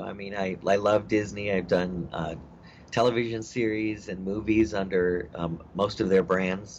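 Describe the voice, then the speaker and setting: A middle-aged actor talking in a casual interview, rambling with many fillers and restarts. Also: male voice, low-pitched. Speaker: actor guest. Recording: dull lo-fi audio with faint hiss.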